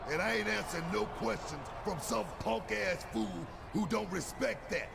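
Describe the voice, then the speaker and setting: An actor playing a brash, boastful pro wrestler in a video game, with defiant, swaggering trash talk over noisy game audio. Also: male voice, high-pitched, normal speed, vocal fry. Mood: boastful, challenging.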